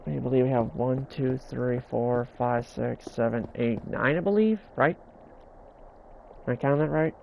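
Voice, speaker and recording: A man's voice: mid-range voice, unhurried, creaky, darkish tone, slight background noise, poor audio. A man giving casual game tutorial commentary as he builds, repeating himself and stumbling over words with an occasional stutter. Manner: stumbling, repetitive delivery, stutters at times. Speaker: gaming video host.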